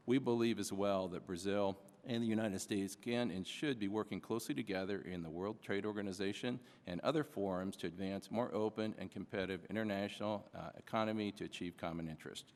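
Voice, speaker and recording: Low-voiced man reading testimony. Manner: formal, measured reading. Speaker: witness testifying. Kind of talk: prepared congressional testimony. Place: congressional hearing room. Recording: long hall reverb.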